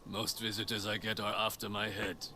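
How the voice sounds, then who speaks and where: An actor playing a stern, gruff middle-aged spear master and resistance leader in a video game, blunt and wry as he instructs. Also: fairly low pitch, little pitch movement, moderate pace, pushed and bright, slight background noise. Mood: grave. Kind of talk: dramatic video game acting.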